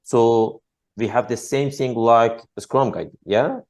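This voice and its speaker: A man's voice: mid-range voice, takes it slowly, darkish tone. A man whose first language is Persian, hosting an interview with short questions and brief agreeing replies. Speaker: interview host. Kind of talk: casual interview.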